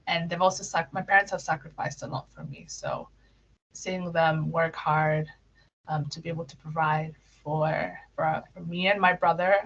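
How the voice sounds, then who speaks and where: A young woman from Kenya chats through interview questions, laughing easily and tripping over her own words. Her voice sits a little low, and the recording sounds muffled and lo-fi. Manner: giggly, many fillers and restarts. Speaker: interview guest. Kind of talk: casual interview answers.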